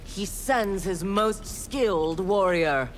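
A woman's voice: medium pitch, takes it slowly, faint music behind, close to an everyday voice, rough recording. Sektor: a woman voicing a haughty young ninja. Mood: cold. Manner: cold, haughty, clipped. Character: proud ruthless young female ninja warrior. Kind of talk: video game dialogue.

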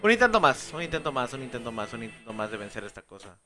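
A man's voice: medium pitch, big pitch swings, fast talker, pushed and bright. A young man with a Mexican accent, casually commenting on a game and chatting with viewers. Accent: Mexican Spanish. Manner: chatty, swears when frustrated, reads game lines aloud. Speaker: streamer. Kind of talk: casual gameplay commentary, reading chat. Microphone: headset. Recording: game music playing behind.

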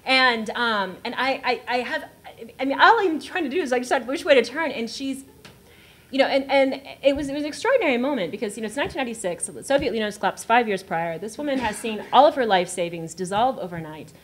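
A woman in her thirties telling a lively story on a literary panel, laughing and acting out the scene, into a table mic in front of an audience. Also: a little high, fast talker. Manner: animated, laughing, acts out the scene. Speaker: panel writer. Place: gallery event room with audience.

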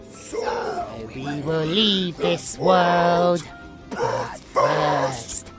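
Slow, gloating demon monster. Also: female voice, lowish voice. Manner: slow, gloating, speaks of itself as we. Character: sadistic, playful hive-mind demon monster hungry for blood. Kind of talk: horror audio drama acting.

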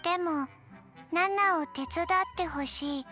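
Tiny zombie girl, very high, slow, deadpan, halting, anime game, music behind. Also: full character voice. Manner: flat, halting, refers to herself by name. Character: small deadpan undead zombie girl, forgetful and stiff.

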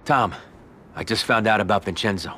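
An actor playing an earnest, loyal young mafia don in a video game, with an American accent. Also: male voice, fairly low pitch, normal speed, pushed and bright, a little hiss or hum. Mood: serious, urgent. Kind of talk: dramatic video game acting.

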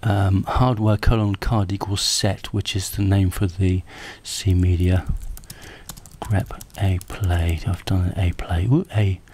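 Male tech demonstrator, unhurried and hesitant, lowish pitch, improvised commentary. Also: little pitch movement, darkish tone. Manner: hesitant, halting, thinking aloud. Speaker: presenter of a hardware prototype demo. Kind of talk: casual unscripted tech demo commentary. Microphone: handheld camera microphone. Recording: noisy handheld camera recording with clicks.

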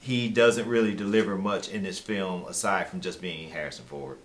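Low-pitched American man reviewing films. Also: steady tone, moderate pace. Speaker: film review co-host. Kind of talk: conversational film review. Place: small indoor room.

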